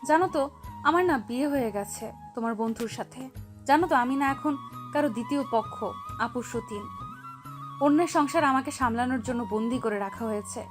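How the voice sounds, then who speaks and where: A woman's voice: sits high, a little fast, music in the background, close to an everyday voice. A woman playing a wronged young wife, defiant yet heartbroken, with dramatic, emphatic delivery in an audio story. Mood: pleading, sorrowful. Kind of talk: acted audio story dialogue.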